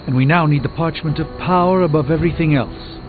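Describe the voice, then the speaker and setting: An actor playing an earnest, formal superhero sorcerer burdened by regret in a video game, speaking in an articulate, measured way. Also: male voice, on the high side, phone-quality sound, close to an everyday voice. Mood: grave. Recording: band-limited game audio with background music.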